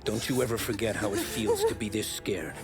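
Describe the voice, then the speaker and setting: A man's voice: fairly low pitch, very animated, fairly quick. A man playing a tough, menacing but merciful American mobster in a video game crime drama, with blunt, slangy talk. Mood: cold menace. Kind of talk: cinematic crime drama acting. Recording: music under the dialogue.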